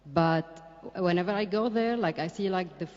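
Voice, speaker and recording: An audience member asking a question softly and haltingly, often saying "like". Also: mid-range voice. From Lebanon. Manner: hesitant, soft, halting, uses "like" often. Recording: muffled recording in a reverberant hall.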